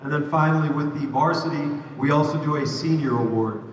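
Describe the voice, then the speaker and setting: A man's voice: a little high, fairly quick. Man announcing in an echoey hall. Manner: organized, explains step by step. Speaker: basketball program head coach, emcee. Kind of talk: banquet announcements. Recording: distant, echoey hall recording.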